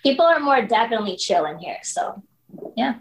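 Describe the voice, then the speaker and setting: A woman's voice: fairly high pitch, sing-song, brisk, slight background noise, rough recording. Guest, woman in her 30s, candid storyteller, loose chatty phrasing. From Philippines. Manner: candid, storytelling, frequent likes and you knows. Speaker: podcast guest. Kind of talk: casual interview conversation.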